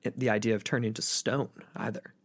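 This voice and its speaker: A man's voice: on the low side, flat delivery, brisk, no echo. Guy rambling on a podcast, um-ing a lot, dry close mic. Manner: rambling, lots of fillers and restarts. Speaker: podcast co-host. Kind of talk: casual chat.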